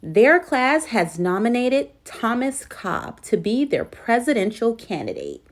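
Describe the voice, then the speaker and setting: A woman's voice: a little high, very animated, measured pace. A woman voicing an encouraging, instructive female schoolteacher in a storybook, addressing her young class. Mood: announcing, explaining.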